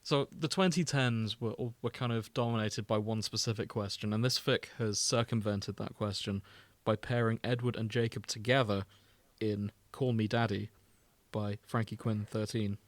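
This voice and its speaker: A man's voice: fairly low pitch, fairly even intonation, normal speed, dry recording. A man co-hosting a podcast in casual chat, with a wry, self-deprecating manner. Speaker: podcast co-host.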